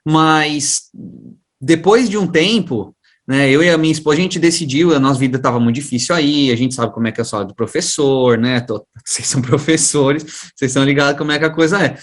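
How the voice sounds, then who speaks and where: A man's voice: on the high side, fairly quick. A man with a São Paulo-area Brazilian accent, an interview guest telling his own story in a casual, slangy, chatty way. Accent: Brazilian, São Paulo region. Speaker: guest, science teacher and education researcher.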